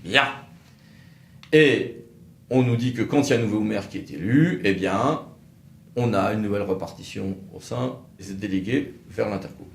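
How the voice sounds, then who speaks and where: A man giving explanatory legal commentary as an expert presenter, halting at times, with wry, sceptical asides. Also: lowish voice, creaky. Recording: roomy echo, faint background noise.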